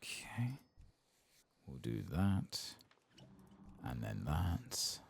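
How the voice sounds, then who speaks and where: A soft-spoken man muttering casual ASMR commentary as he plays a game, with a husky, gravelly voice. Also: a bass voice, very animated, drawn-out words. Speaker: gameplay streamer.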